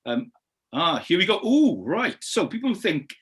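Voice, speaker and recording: A man's voice: high voice, big pitch swings, unhurried. A man with a Welsh accent hosting a casual interview, encouraging and full of praise, with many hesitations. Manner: warm and encouraging, many "um"s, says "brilliant" a lot. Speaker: host interviewer.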